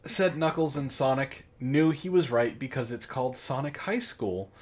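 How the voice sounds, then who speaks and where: A man's voice: medium pitch, expressive, normal speed, a little mellow. A man reading fan fiction aloud for comedy, mocking it and breaking off for sardonic asides. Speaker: book club host and reader.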